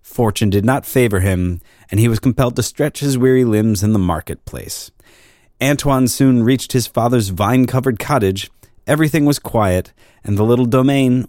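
A man reading an old crime story as narrator, in a measured, formal storytelling manner. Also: fairly low pitch, darkish tone, no echo, studio quality.

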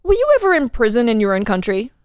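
A woman's voice: on the high side, sing-song, fast talker, darkish tone, clean tone, no room sound, studio quality. A woman playing an official interrogator who puts formal, leading questions in a dramatic reading of a transcript. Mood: probing, formal. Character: probing, insistent official interrogator questioning a murder suspect.

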